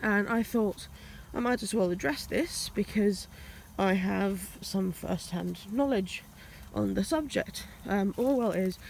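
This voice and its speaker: Slow-paced woman. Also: medium pitch, vocal fry, darkish tone, a little hiss or hum, rough recording. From Finland. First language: Finnish. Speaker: dog owner and vlogger. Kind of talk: casual vlog talk. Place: outdoors on a walk.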